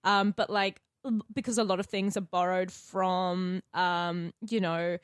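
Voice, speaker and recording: A woman's voice: on the low side, little pitch movement, measured pace, clean tone, no room sound, clean studio sound. A woman talking casually and informally among friends as a podcast host, with a wry manner.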